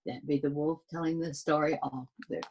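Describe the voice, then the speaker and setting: A woman reading aloud to children, informally explaining the book in a halting way and asking questions. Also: deep voice, fairly even intonation, muffled recording, noisy background. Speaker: storybook reader.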